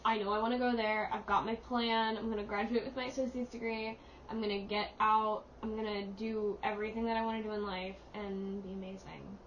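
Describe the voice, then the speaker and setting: A woman's voice: medium pitch, flat delivery, brisk. A teenage girl chatting on a student podcast, determined and casual, with many "like"s. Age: teens. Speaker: podcast co-host. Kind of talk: casual chat. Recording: distant, dull mic with faint hiss.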